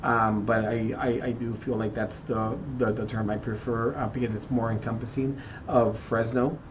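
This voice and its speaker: A man from South Texas answering interview questions thoughtfully, often repeating words and pausing with "um" as he searches for the right term. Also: mid-range voice, flat delivery. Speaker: school district equity chief. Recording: muffled, distant, faint background noise.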